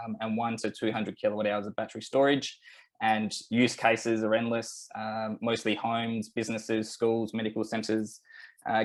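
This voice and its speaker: Australian male presenter, mid pitch, loose and relaxed, lots of ums, computer mic. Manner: relaxed, many fillers and restarts. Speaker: webinar presenter. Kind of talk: presenting a technical webinar.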